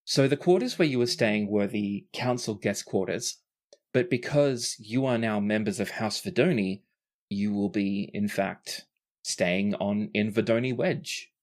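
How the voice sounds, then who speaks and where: A game master tells the story of a tabletop role-playing game. The voice sits at a medium pitch, and the delivery is casual and peppered with swearing. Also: a little slow.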